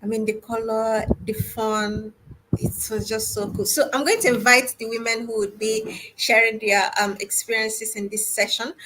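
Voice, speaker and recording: Female online host, chatty and exclamatory, sharp bright tone, slight noise on a video call. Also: on the high side, poor audio. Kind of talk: casual hosting of a video call session.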